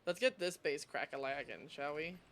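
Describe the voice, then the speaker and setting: Higher-voiced man streaming a game, gunfire behind. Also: normal speed, fairly bright tone, slight background noise. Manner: thinking aloud in short remarks. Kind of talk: casual live gameplay commentary.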